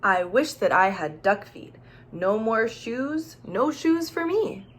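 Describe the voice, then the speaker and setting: A woman's voice: on the high side, big pitch swings, slow pace. A woman teacher reading a children's storybook aloud, expressively, with questions and asides addressed straight to young listeners.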